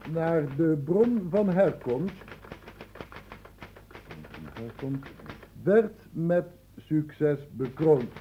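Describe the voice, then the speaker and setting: Through noisy, clicking old radio sound comes a grave doctor. His voice is very soft-edged and dark, pitched a little high, and he speaks in measured, exact phrases as in a classic radio drama. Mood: formal, dictating. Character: male doctor investigating an infection, grave, insistent, precise. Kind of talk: Dutch radio play acting.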